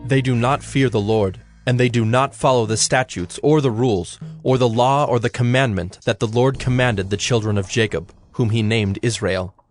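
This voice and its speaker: A male narrator reads scripture with solemn formality. His mid-pitched voice is measured throughout, with music playing beneath it.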